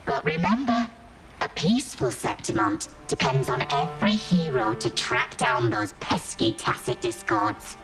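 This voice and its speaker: Low, grand arena announcer. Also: female voice, big pitch swings, a little slow, fairly bright tone, exaggerated voice, low-quality recording. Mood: grand, rousing. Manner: grand, declamatory. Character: proud ceremonial arena announcer of gladiator city. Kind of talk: dramatic scripted video game announcement. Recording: game music behind voice.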